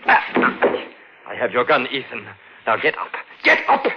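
An actor playing a brooding, unhinged murderer in 1940s radio style, halting and dramatic, swinging from hushed to frenzied. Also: male voice, medium pitch, wildly swooping pitch, heavy background noise. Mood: gloating fury. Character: unhinged male murderer, haunted, brooding and bitter. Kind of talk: 1940s radio acting, first-person suspense narration. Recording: dull vintage radio recording with music behind.

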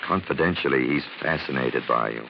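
An actor playing a suave, witty amateur detective in polished, formal diction, in 1940s radio drama style. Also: male voice, a bass voice, brisk, creaky. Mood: smooth, teasing. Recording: muffled, hissy old radio recording.

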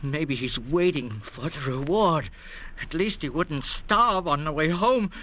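A man in a 1940s radio drama playing an anxious, bitter French forger, with a slow, insinuating, theatrical delivery on an old radio recording. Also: fairly high pitch, lively intonation, fairly bright tone. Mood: suspicious, bitter. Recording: dull, noisy vintage radio recording.

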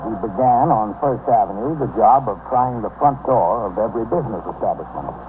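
A man's voice: on the low side, close to an everyday voice. Man playing an authoritative police captain narrator. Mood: sober, official. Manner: measured, official, precise. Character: authoritative, sober male police captain narrating his case. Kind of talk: scripted 1950s radio drama narration. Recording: tinny, hissy 1950s radio broadcast.

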